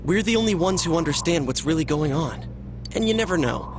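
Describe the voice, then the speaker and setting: Man voicing a higher-pitched, determined teenage boy. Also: expressive, a little fast, noisy background, natural voice. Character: earnest, determined teenage boy amateur detective. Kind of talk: English game voice acting.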